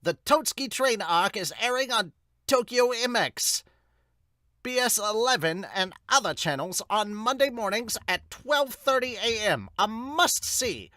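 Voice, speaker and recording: A man's voice: sits high, animated, measured pace, bright, forceful tone, no room sound, clean studio sound, pitched above the performer's own voice, full character voice. A man putting on an emphatic announcer's voice to read manga titles and notices. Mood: announcing.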